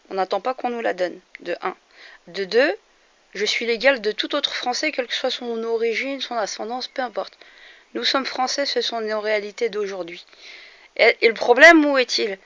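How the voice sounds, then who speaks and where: A woman's voice: on the high side, fairly bright tone, no echo. A woman in her forties from France, speaking to camera in an earnest opinion monologue that turns heated and emphatic, with frequent hesitations. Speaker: vlogger. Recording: slightly dull lo-fi recording.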